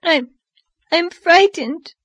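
A woman's voice: very high voice, drawn-out words, fairly bright tone, dry recording, clean studio sound, pitched above the performer's own voice, full character voice. A woman voicing a timid, frightened little girl who is crying as she talks, in a storybook reading. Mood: frightened, tearful. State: crying, sobbing.

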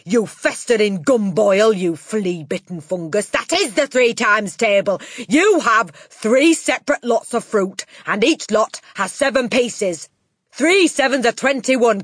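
A woman voicing a huge, bullying headmistress in an audiobook, booming and barking out contemptuous insults with drawn-out emphasis. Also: fairly bright tone, dry recording, studio quality. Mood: furious. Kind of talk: audiobook character acting.

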